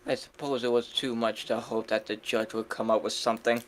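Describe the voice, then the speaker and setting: A man voicing an anxious, excitable young defense attorney in a video game, nervy and easily exasperated. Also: mid-range voice, fairly quick, noisy background, natural voice. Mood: anxious, wry.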